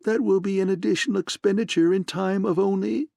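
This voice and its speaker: A man voicing a jovial, complacent fat gentleman with a throaty purr. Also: high voice, fairly even intonation, a little fast, gravelly, no room sound, clean studio sound, voice pushed up. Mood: genial. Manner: throaty purring, genial, formal.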